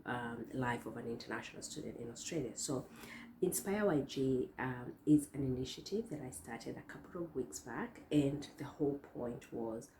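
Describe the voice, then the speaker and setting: A hoarse young woman talks to her viewers in a loose, rambling vlog style, full of fillers and restarts. Her voice is very deep and mellow. The room has a roomy echo with a faint hum behind it. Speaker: vlogger host. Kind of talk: casual talk to camera. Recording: echoey room.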